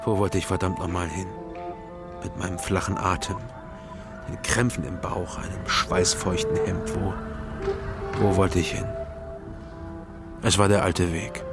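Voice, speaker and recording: An actor playing a haunted, hard-drinking East German private detective in a radio drama, halting, weary and brooding. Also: male voice, deep voice, steady tone, creaky. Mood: bitter, haunted. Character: haunted male East Berlin private detective, ex-border soldier, heavy drinker. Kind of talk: radio drama dialogue and brooding first-person narration. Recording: music behind the voice.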